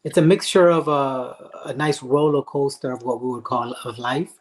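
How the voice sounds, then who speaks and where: A man's voice: mid-range voice, fairly even intonation. Earnest Haitian-born man speaking of faith. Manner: earnest, faith-driven, with frequent "um" pauses. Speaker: guest, motivational speaker and life coach. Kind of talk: interview answers, earnest storytelling.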